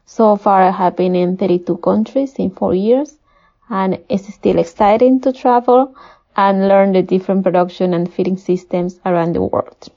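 Female guest expert, Peruvian Spanish accent, gravelly edge, measured formal explanations, slightly muffled recording. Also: medium pitch, mellow. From Peru. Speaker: guest, poultry nutritionist. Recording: dull, muffled lo-fi audio.

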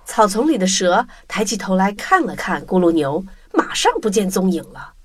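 A woman narrating a scripted children's story in an expressive, dramatic storytelling manner. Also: medium pitch, moderate pace. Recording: clear music bed under the voice.

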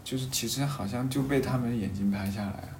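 A man in a casual, reflective conversation, halting and rephrasing his thoughts as he goes. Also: medium pitch, a little mellow. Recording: roomy echo, faint background noise.

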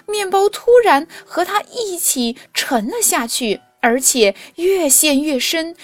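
A woman teacher reading a fairy tale aloud to children in a gentle, expressive storytelling voice. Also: mid-range voice.

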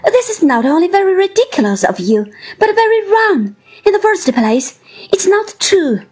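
A woman's voice: very high-pitched, very animated, normal speed, a little mellow, soft background music, voice pushed up. A woman voicing an earnest young husband in a story, patient but exasperated, remonstrating in formal, moralising phrases.

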